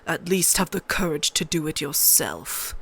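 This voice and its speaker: A weary, honourable lord, played by a woman narrator. He speaks gravely and with restraint, as a serious man in an audiobook. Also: low-pitched, very animated, measured pace, fairly bright tone, voice pushed down, exaggerated voice. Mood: cold. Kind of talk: audiobook character voice.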